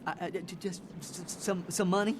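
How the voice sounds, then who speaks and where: A man voicing a meek, frightened young male vampire in a video game, stuttering tearfully and pleading in halting apologies. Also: high-pitched, steady tone, background noise, close to an everyday voice. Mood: desperate pleading. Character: terrified, meek, stammering young male vampire begging for mercy.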